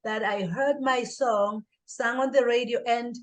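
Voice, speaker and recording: High-pitched southern African woman telling her story. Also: steady tone, unhurried. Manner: emphatic, acts out her inner dialogue. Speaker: interview guest, singer and speaker. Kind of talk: conversational personal storytelling.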